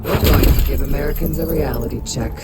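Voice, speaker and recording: An actress playing a bitter, zealous rogue intelligence agent in a video game cutscene, intense and scornful with deliberate delivery. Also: female voice, low-pitched, lightly acted. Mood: scornful, fervent. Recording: loud dramatic music behind.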